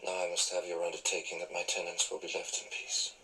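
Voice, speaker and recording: An actor playing an earnest, forceful man in a period television adventure drama, speaking in a declamatory way. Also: low voice, completely flat delivery, fast talker, full character voice, rough recording. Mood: earnest, firm.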